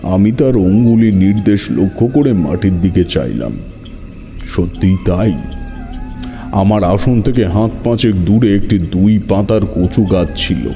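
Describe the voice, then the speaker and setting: A man's voice: low-pitched, animated, a little slow, warm tone, soft background music. A man narrating a horror story dramatically in the first person.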